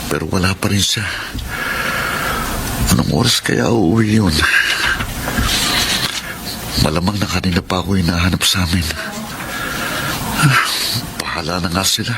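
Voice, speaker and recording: Deep-voiced lovelorn young man. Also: measured pace, pauses often, heavy background noise. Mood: earnest, restless. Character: lovelorn defiant young man, narrating his own story. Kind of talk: Filipino radio romance drama acting. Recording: loud music under the voice. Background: music.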